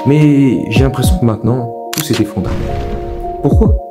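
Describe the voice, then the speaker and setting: A man's voice: medium pitch, moderate pace, over music. A young man hosting a video essay, giving informal, opinionated commentary in an emphatic, repetitive, colloquial way.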